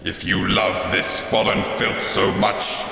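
An actor playing an older alien tyrant and father who sees himself as a saviour, grandiose and menacing, with a breathy rasp, in a sci-fi audio drama. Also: fairly low pitch, little pitch movement, slow, pushed and bright, a little hiss or hum, full character voice. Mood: fanatical. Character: deranged alien tyrant father, self-proclaimed purifying saviour. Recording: cavernous long reverb under music.

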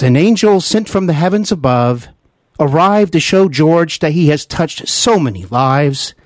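A highish male host voice with very lively intonation, recorded dry and close. He delivers a scripted show introduction earnestly, leaning on key words. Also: no echo. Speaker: podcast host.

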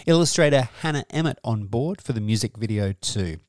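A man hosting a music podcast in a measured, earnest way, presenting scripted news in wordy phrases on a close microphone. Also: medium pitch, expressive, darkish tone, no echo. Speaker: podcast host.

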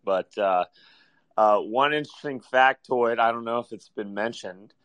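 A slightly hoarse man chatting casually as a caller, in a hesitant, halting way. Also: medium pitch, a bit bright, no room sound. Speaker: caller to a podcast. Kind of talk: casual chat.

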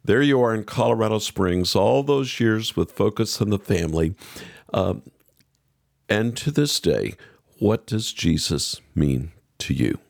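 A man's voice: fairly low pitch, expressive, a little slow, gravelly, no room sound, clean studio sound. A man hosting a podcast, interviewing and addressing listeners in a conversational style. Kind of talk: conversational interviewing and show sign-off.

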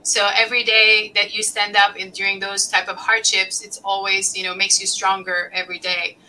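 A woman's voice: medium pitch, flat delivery, moderate pace, sharp tone, slight background noise, low-quality recording. A woman from Lebanon hosting a live podcast interview, chatty and loose, with many ums and restarts. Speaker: podcast host.

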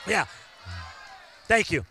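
A man, preaching a sermon and building to loud, fervent call-outs to the congregation. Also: slow pace, a little hiss or hum. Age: 50s. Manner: preaching with rising shouts and call-outs to the crowd. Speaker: pastor.

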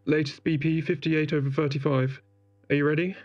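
Actor as a muffled, higher-voiced male medical assistant. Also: little pitch movement, a little fast, darkish tone, lightly acted. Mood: businesslike. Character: caring, steady male medical colleague assisting a procedure. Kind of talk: science-fiction audio drama.

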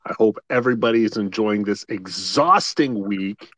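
A man co-hosting a casual podcast chat, rambling in quick bursts with lots of fillers. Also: lowish voice, a bit bright, no room sound. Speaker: co-host.

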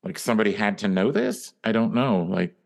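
A man's voice: on the low side, a little fast, creaky. A man co-hosting a comedy podcast, teasing his friends dryly and telling stories with voiced quotes. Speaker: podcast co-host. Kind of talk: casual comedic chat.

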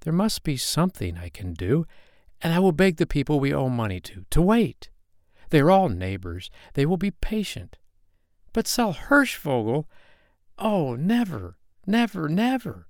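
An earnest little storybook boy, acted by a man, speaks in a raised, high voice. He is devoted and desperate, pleading with emphatic repeated words. The sound is dry. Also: sing-song, moderate pace, smooth, dry recording.

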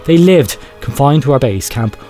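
A man playing a grave researcher, telling a horror confession in a measured, clinical and ominous way. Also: medium pitch, lively intonation, dark tone, faint music behind, natural voice. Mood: clinical dread. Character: grave male researcher confessing secret horrific experiments. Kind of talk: dramatic horror storytelling, read aloud.

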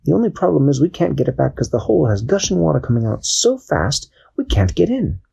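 A man voicing a commanding male ship captain, speaking briskly in a raised voice. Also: medium pitch, very animated, vocal fry, warm tone, breathy edge, pitched above the performer's own voice, heavily acted.

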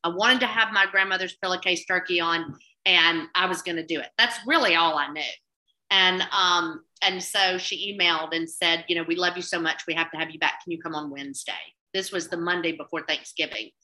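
A woman with a Southern US accent tells her story in an easy, rambling way, full of restarts and fillers. Her voice sits a little low but rings very bright and forceful. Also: steady tone, brisk, poor audio. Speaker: cookbook author and TV food contributor. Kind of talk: casual interview chat.

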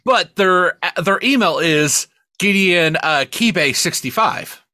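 A man's voice: sits high, expressive, slow, pushed and bright, no echo, studio quality. A man co-hosting a comedy podcast, reading spam emails theatrically and breaking into sweary, casual asides. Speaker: podcast co-host.

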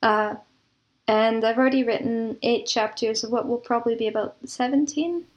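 A woman's voice: fairly high pitch, moderate pace. A woman speaking casually as an interview guest, a composer talking about creative work. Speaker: interview guest, composer and audio drama producer.

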